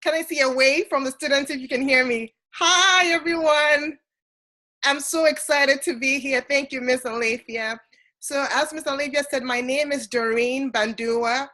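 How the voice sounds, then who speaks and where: A woman from Ghana, a project manager giving an energetic, encouraging welcome over a video call. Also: high voice, normal speed.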